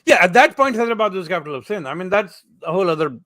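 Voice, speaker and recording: A male host leading a conversational interview, often affirming and cutting in briskly. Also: high voice, sing-song, a bit bright, dry recording, studio quality.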